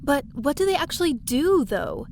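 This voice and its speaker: A woman's voice: high voice, big pitch swings, moderate pace, very noisy. An actress playing a chatty, curious young woman stowaway who narrates and talks through her space adventure in an audio drama. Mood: playful. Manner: chatty, breezy, eager. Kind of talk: first-person audio drama narration and dialogue.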